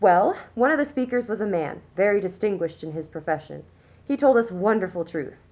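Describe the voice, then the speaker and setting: A woman's voice: mid-range voice, lively intonation, brisk, a little mellow, natural voice. Radio play society matron played by a woman: middle-aged, genteel, smug, primly condescending, mid-range voice. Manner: prim, condescending, self-satisfied. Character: smug, genteel upper-class anti-suffragist mother, middle-aged. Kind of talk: scripted radio play acting.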